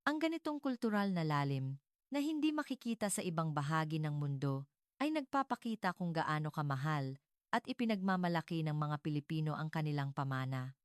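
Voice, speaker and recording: A woman with a low-pitched voice reads a scripted first-person story. Her delivery is formal and measured, as a narrator's is. The sound is close and dry, with almost no room in it. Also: big pitch swings, clean tone, no room sound.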